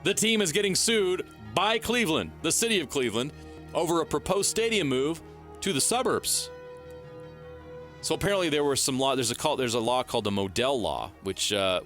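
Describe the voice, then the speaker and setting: A man hosting a sports radio show, briskly reading headlines and teasing his co-host. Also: mid-range voice, a bit bright.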